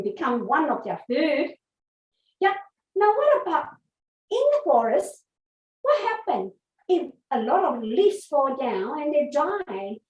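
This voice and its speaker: A woman's voice: high voice, a little mellow. A woman teacher giving an interactive nature lesson to young children, asking simple questions and answering them herself. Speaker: early learning teacher. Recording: echoey room, distant from mic.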